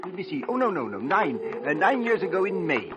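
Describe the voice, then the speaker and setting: An actor playing an elderly, kindly, fussy parish priest with a doddering, hesitant manner in a 1940s radio drama. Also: male voice, sits high, very animated, fairly quick. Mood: genial, fussy. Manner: doddering, hesitant, muttering exclamations. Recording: very noisy old 1940s radio recording.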